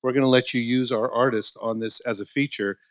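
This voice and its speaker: A man's voice: mid-range voice, fairly even intonation, a little fast, no room sound, studio quality. A man on a conference panel explaining agreements in a methodical way. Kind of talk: panel discussion.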